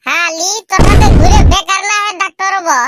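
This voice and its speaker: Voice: extremely high pitch, quick pace, heavy background noise. A performer voicing a sulky, mocking unemployed young friend in a comic cartoon, scolding in a rural Bangladeshi dialect.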